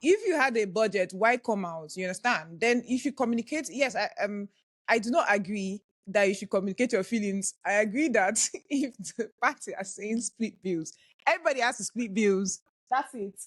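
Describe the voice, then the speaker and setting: A woman's voice: mid-range voice, normal speed, dry recording. A woman co-hosting a playful podcast debate, arguing the prosecution side in a pushy, teasing way and laughing often. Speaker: co-host arguing the prosecution in a mock trial.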